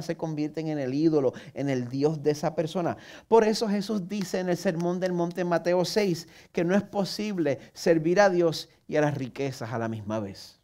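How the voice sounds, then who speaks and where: Puerto Rican pastor preaching earnestly. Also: male voice, a little high, a little mellow. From Puerto Rico. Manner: earnest preaching with rhetorical questions to the listener; self-mocking humor. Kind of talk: sermon.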